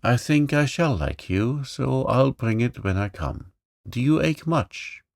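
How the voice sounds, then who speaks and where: Storybook girl, imperious and eager, put on by a male narrator: higher, measured, frank. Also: sing-song, creaky, no room sound, clean studio sound. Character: bossy, impetuous little rich girl.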